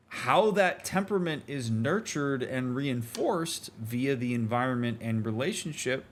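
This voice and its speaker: A man's voice: mid-range voice, fairly bright tone. A man streaming a game, giving reflective commentary in an earnest, emphatic, explanatory and conversational manner. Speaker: streamer, therapist host.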